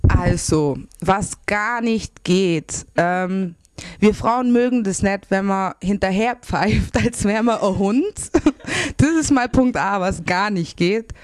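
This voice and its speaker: A woman in her twenties, a musician answering interview questions, relaxed and laughing often. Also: medium pitch, takes it slowly, no room sound. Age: 20s.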